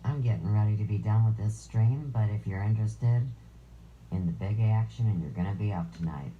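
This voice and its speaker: A woman with a low voice hosting a live stream, reading viewer comments aloud with sarcastic, crude jabs. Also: fairly quick, narrow, tinny audio, a little hiss or hum.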